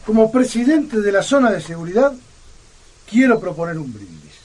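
Pompous, high-voiced historian. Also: male voice, very animated, moderate pace, darkish tone, breathy edge, full character voice. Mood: solemn, proud. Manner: formal, grandiloquent. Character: pompous, ceremonious historian presiding over a band of survivors. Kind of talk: radio drama acting.

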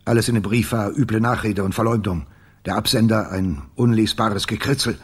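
Deep, very husky evasive boss. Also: male voice. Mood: defensive. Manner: measured, defensive. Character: evasive, self-justifying male official turned agency boss. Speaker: actor in a radio crime drama. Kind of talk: German radio play acting.

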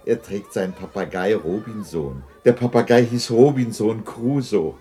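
A man's voice: fairly low pitch, moderate pace, mellow, slightly rough, some chatter behind. A man acting a remorseful old miser moved by his memories in a 1960s German radio drama. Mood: delighted remembering. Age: older.

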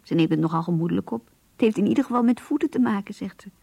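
An actress in a radio play voicing an earnest, introspective young woman who confides in her diary in an intimate, breathy voice. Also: mid-range voice, very fast, warm tone, no echo. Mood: matter-of-fact, rueful. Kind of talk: radio drama diary monologue.

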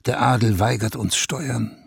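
Gravelly, slow, proud old-time ruler. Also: male voice, medium pitch, steady tone, no echo, studio quality, full character voice. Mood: brooding. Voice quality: gravelly, husky. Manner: pompous, slow, speaks in royal we. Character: proud, brooding, weary 17th-century ruler sitting for his portrait. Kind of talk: German radio play monologue.

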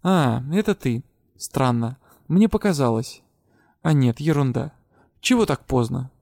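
Snappy bossy death spirit lady read by a man, growly, mellow low-ish tone, dry. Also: lively intonation, measured pace, creaky, dry recording. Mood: puzzled. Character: female death spirit, fierce, bossy, quick-tempered.

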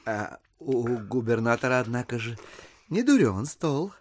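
An actor playing a polite, agreeable and inquisitive gentleman guest in an audio play, speaking in a courteous, hesitant manner. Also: medium pitch, sing-song, a little slow. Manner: hesitant, courteous, murmuring assent. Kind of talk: audio play acting of a literary classic.

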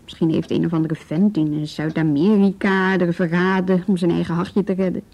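An actress playing a calm, practical policewoman in a radio drama, talking in a measured, reassuring way. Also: lowish voice. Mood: reassuring, explaining. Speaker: actor in a radio play. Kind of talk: naturalistic radio drama dialogue.

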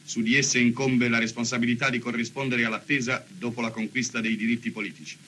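A man's voice: medium pitch, steady tone, fast, sharp tone. A man announcing in formal, declamatory 1940s newsreel style. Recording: faintly noisy 1940s newsreel.

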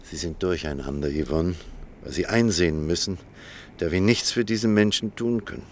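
An actor in a radio play, playing a devoted, composed doctor in love, speaking earnestly and deliberately. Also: male voice, low voice, slight background noise, close to an everyday voice. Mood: calming, subdued. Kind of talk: German radio play acting.

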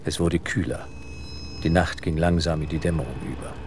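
A man narrating an audio drama in a measured, suspenseful, literary style. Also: rumbling low, steady tone, background noise.

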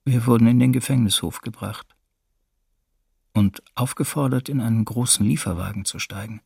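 Sober male witness, gravelly voice. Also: mid-range voice, darkish tone, no room sound. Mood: grim. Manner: sober, measured recollection. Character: captured partisan ally recounting his arrest, sober witness. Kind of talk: documentary radio play, first-person testimony.

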